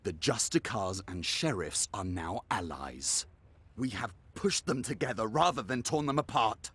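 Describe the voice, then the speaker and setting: A scheming male dark elf leader from a fantasy game. His voice is deep and thick with husky rasp, and his manner is severe, bitter and menacing. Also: lively intonation, a little slow, exaggerated voice. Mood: stern, irritated. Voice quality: husky, raspy. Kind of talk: fantasy video game acting.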